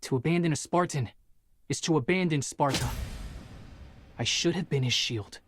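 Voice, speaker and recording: An actor playing an earnest, driven young Spartan soldier burdened by guilt in a dramatic video game. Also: male voice, a little high, moderate pace, slight background noise, lightly acted. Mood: resolute.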